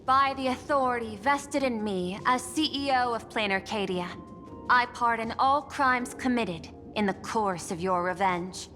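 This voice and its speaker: A woman voicing a composed, authoritative corporate chief executive in a game dub, formal and deliberate. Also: fairly high pitch, bright, forceful tone. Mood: commanding. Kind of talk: dramatic game dubbing. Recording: long reverb, music behind.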